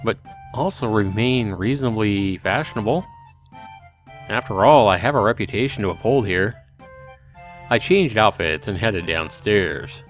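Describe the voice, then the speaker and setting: A man's voice: on the low side, expressive, unhurried, soft background music. A man voicing a reluctant, sarcastic and vain young man narrating his life in a visual novel, grumbling and exasperated. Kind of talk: visual novel character reading.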